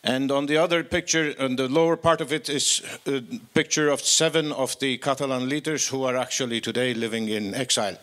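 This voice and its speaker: A man with a medium-pitched voice introduces a guest speaker as an event host. His delivery is informal, measured and a little hesitant. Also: steady tone, a bit bright.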